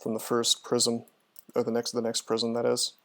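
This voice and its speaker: A man's voice: medium pitch, completely flat delivery, moderate pace, fairly bright tone. A man commentating casually on a video game as he streams, chattily thinking aloud through his moves. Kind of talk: casual live gameplay commentary, talking through moves and answering chat.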